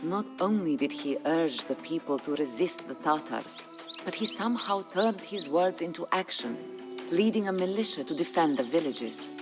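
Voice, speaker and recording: An actor plays a solemn chronicler for a historical strategy game. The delivery is dramatic scripted narration, grave and measured, at a middle pitch. Music plays clearly beneath the voice. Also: expressive, natural voice. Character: solemn storyteller chronicling a medieval Bulgarian uprising. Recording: music under the voice. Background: background music.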